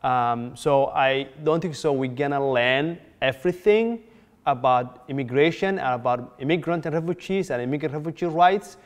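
Man in his forties from Somalia, workshop facilitator, smooth resonant voice, reverberant room. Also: a little high. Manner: measured, many fillers and restarts. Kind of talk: workshop introduction. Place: community room, indoors.

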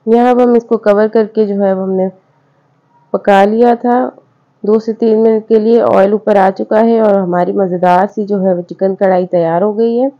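A woman's voice: mid-range voice, steady tone, normal speed. A woman narrates a cooking video over the footage, friendly and patient. Her mid-range voice runs through each step in a chatty, repetitive home-cook way. The recording sounds a little dull and lo-fi. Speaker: cooking channel host. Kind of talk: voice-over recipe instructions.

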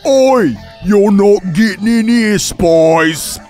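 Guy doing an angry chef guard henchman, high voice, slow, parody radio play. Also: lively intonation, darkish tone, faint music behind. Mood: hostile. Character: disgruntled male chef guard, hostile henchman.

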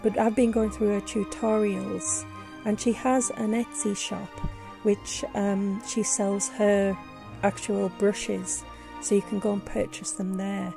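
A woman's voice: medium pitch, flat delivery, slow pace, gravelly, over music. A woman who is losing her voice, talking casually and hesitantly through a tutorial voice-over, with many fillers and restarts. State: losing her voice, sore throat. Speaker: vlogger. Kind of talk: casual screen-recorded tutorial. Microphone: voice-over on screen recording.

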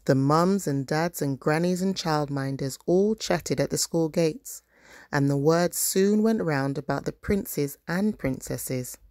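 A woman narrates a children's storybook as a parent reading aloud. Her voice is low and the sound is dry and close. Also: slow, darkish tone, no room sound.

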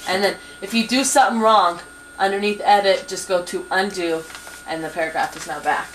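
A young woman patiently teaching word processing at a desk computer, with many pauses and ums, recorded on a 1990s camcorder in a small home office. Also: medium pitch, poor audio. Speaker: computer instructor. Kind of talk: hands-on software tutorial. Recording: 1990s camcorder with faint hiss.

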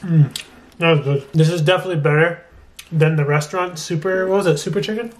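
Higher-voiced young man vlogging over background noise. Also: fast talker. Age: 20s. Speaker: food vlogger. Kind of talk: casual chat and food review. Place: restaurant, home kitchen, car, bedroom. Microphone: camera at arm's length. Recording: noisy handheld camera audio.

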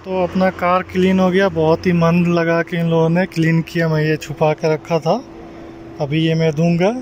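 A man's voice: a little high, level tone, normal speed, a little mellow, lo-fi sound. A man from India, a house driver, chatting casually to his viewers while driving and running errands. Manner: chatty, addresses viewers directly. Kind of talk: casual vlog narration. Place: in a car and outdoors in a street. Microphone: handheld phone camera. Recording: noisy phone recording.

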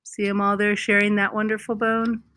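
A woman reading a children's picture book aloud as a storyteller, with deliberate, expressive phrasing and emphasized words. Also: mid-range voice, slight background noise.

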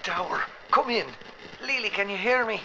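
A man's voice: sits high, normal speed, highs cut off, noisy background, lightly acted. An actor playing a reckless, self-sacrificing man at a plane's controls, theatrical and determined, in radio horror drama. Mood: urgent.

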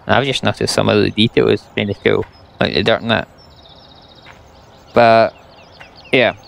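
Young Scottish gamer, low gravelly voice, blunt and sarcastic rant delivery. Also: male voice, steady tone, normal speed. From Scotland. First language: English. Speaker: gaming YouTuber. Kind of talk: ranting gameplay commentary.

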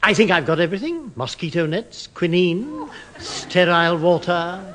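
An actor playing a naive, eager, polite and bumbling English civil servant in 1960s British radio comedy style. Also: male voice, sits high, big pitch swings, moderate pace, pushed and bright, a little hiss or hum, exaggerated voice. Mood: earnest, fretful.

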